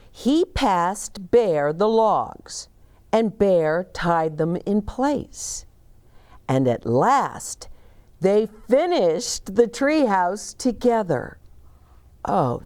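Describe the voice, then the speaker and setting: Older woman reading stories very slowly. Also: medium pitch, darkish tone. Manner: deliberate, dramatic phrasing with pauses. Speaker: children's story reader and host. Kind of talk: expressive storybook read-aloud. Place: small decorated set, indoors, seated.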